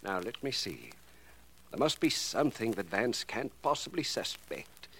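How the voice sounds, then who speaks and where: A man acting in a 1940s radio drama as a cold, precise, self-assured criminal professor who speaks formally and deliberately. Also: on the low side, very animated, fairly bright tone, husky, heavily acted. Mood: musing, calculating. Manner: deliberate, educated, formal wording. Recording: noisy vintage radio recording.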